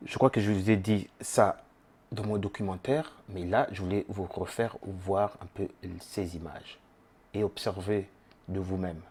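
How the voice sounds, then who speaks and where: Husky, mellow-voiced Congolese-born man vlogging. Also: sits low, steady tone, a little slow. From Democratic Republic of the Congo. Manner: conversational, with many fillers and rephrasings. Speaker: YouTube channel host. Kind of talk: casual monologue to camera.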